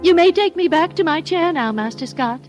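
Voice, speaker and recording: A woman's voice: very high-pitched, animated, moderate pace, loud music behind the voice. An actress playing a coquettish, wistful mother lost in her girlhood in a radio drama, with a genteel, girlish, mannered delivery. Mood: coy, gracious. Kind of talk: old-time radio drama acting. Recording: old radio broadcast with musical underscore.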